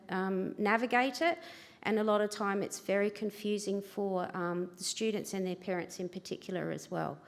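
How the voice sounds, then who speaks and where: A woman's voice: mid-range voice, darkish tone. An Australian woman, a government education manager, giving a chatty, self-deprecating conference talk at a lectern microphone. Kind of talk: conference presentation. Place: large convention hall. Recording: reverberant hall, livestream.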